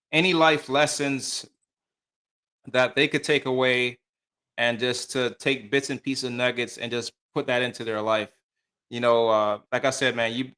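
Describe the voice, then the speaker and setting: A man's voice: mid-range voice, sharp tone. A man hosting a podcast interview, talking casually and in a friendly way, with plenty of loose fillers. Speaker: podcast host. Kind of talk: conversational interview.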